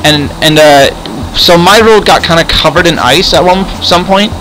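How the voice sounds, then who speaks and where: A man chatting casually in improvised game roleplay, rambling and often repeating words haltingly. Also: a little high, bright, forceful tone, slight background noise, low-quality recording. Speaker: host and player in a roleplay game video. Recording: music behind the voice. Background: music.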